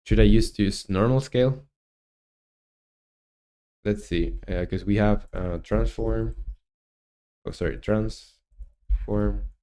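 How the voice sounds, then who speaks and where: A young man with a Chilean Spanish accent talks through code on a live stream, halting often to think. His speech is unhurried and his voice sounds smooth and resonant. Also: on the low side, warm tone. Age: 20s. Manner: halting, thinking aloud with many fillers. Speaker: game developer streamer. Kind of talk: live coding stream commentary.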